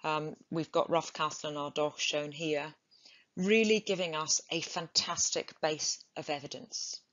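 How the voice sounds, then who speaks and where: A woman's voice: low-pitched, steady tone, a bit bright, no echo. A woman giving an enthusiastic conference lecture on archaeology over slides. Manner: enthusiastic, measured, with occasional "um" and restarts. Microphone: computer mic on a video call. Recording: dull lo-fi online talk.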